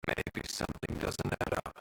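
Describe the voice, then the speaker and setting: An actor playing an earnest, fair-minded space explorer in a video game, speaking plainly and conversationally. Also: male voice, normal speed, a bit bright, lightly acted, rough recording. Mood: calm, inquiring.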